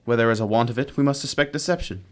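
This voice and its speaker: A man playing a composed, analytical gentleman detective in a radio drama, deliberate, courteous and authoritative. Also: medium pitch, lightly acted. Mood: assured, deductive.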